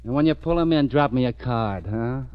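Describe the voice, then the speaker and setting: A man's voice: mid-range voice, lively intonation, moderate pace, loud music behind the voice, close to an everyday voice. An actor playing a hardboiled police lieutenant who narrates his own case in a dry, clipped, sardonic way, in an old radio drama. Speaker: narrator and detective. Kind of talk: 1940s radio acting. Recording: muffled old radio recording.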